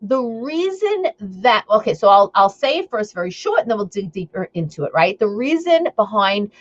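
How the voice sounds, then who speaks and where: A woman's voice: mid-range voice, sing-song, moderate pace, muffled recording. A woman with a Long Island accent hosting a live stream as an eating coach, chatting upbeat and rambling with lots of fillers and asides to her viewers. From Long Island, New York.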